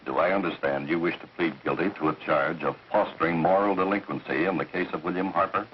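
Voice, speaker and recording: An actor playing a stern judge in a 1930s film, speaking in a formal, measured way. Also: male voice, low-pitched, slight background noise, natural voice. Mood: stern, official. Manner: formal, measured, pronouncing rulings. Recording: dull 1930s film soundtrack.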